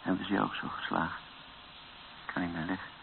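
Weak, battered, low-voiced bishop. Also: male voice, level tone, gravelly. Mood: pained, weary. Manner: weak, halting, subdued. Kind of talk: radio drama acting. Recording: muffled lo-fi radio recording with hiss.

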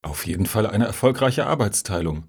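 Dismissive male panelist played by a man, husky, animated delivery, dry barbs, satirical audio drama. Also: on the low side, a little fast. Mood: snide. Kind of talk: satirical radio play dialogue.

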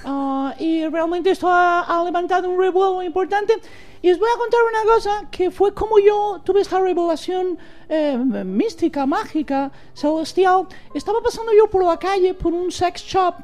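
A woman doing an American nun, very high squeaky voice, giggly, rambling, half English half accented Spanish. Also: moderate pace, a little mellow, faint music behind. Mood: giddy. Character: boastful American nun, middle-aged woman, giddy and flirty. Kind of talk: improvised comic radio sketch.

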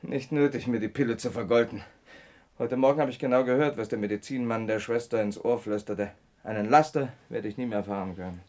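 An actor playing a gruff, injured truck driver, an earthy, sardonic bachelor with slangy speech, in a vintage German radio crime play. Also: male voice, mid-range voice, a little fast, a little mellow, lightly acted. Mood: bitter.

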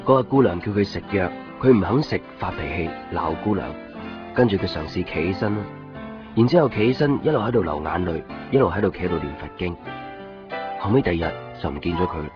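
A man's voice: low voice, expressive, fast talker. A man playing a brooding young boyfriend in a radio drama, subdued and plain-spoken. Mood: reflective, sombre. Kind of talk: Cantonese radio drama narration and dialogue. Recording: dull lo-fi radio recording, music behind.

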